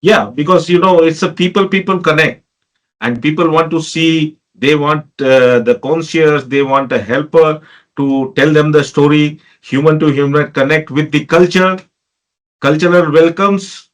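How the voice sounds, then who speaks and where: A man's voice: fairly high pitch, a little slow. A man interviewing a guest, phrasing his questions in broken sentences and often agreeing with "yeah". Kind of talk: interview questions, casual back-channel.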